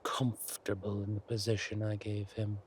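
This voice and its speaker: An actor playing a smug, menacing villain king in an intimate audio roleplay, speaking deliberately and theatrically to a beloved consort. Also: on the low side, completely flat delivery, a little hiss or hum, natural voice. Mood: gloating, fond. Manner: slow, deliberate, theatrical, breathy asides.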